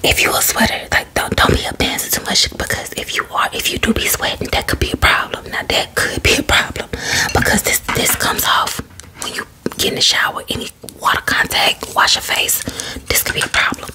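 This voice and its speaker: Lady acting as a sassy salon beautician whispering asmr style, kinda defensive, breathy, repeats herself. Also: bright, forceful tone, close to an everyday voice, poor audio. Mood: bossy, cautioning. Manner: slow, sassy, colloquial patter with repeated phrases.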